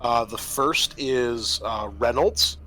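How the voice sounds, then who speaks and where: A man's voice: medium pitch, slow pace, pushed and bright. A man acting as game master, explaining the rules and setting of a tabletop game in a conversational way. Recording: faint background noise.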